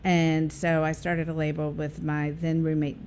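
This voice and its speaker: A woman's voice: low voice, completely flat delivery, a little slow, darkish tone, slight background noise. A woman, a radio guest talking casually with many fillers and restarts.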